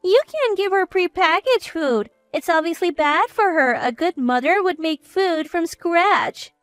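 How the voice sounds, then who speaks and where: A woman narrator plays a meddling, old-fashioned grandmother in a story. The voice is high and swings widely as it scolds and judges, with a dry sound over faint music. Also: animated, unhurried, fairly bright tone, no echo, full character voice. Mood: scolding. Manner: scolding, judgmental.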